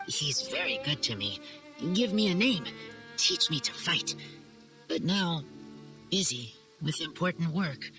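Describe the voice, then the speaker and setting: Shy feral teenage boy, low for a woman, slow halting fragments, earnest, video game acting, music behind. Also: big pitch swings. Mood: hesitant, wistful. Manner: halting, clipped broken sentences. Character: earnest teenage wolf-raised boy, shy and simple-spoken.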